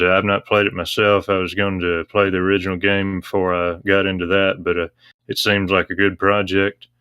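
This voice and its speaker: A man talking casually in a discussion, explaining things in a halting way. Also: sits low, bright, forceful tone, clean tone, no echo. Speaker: discussion panelist on a visual novel talk stream.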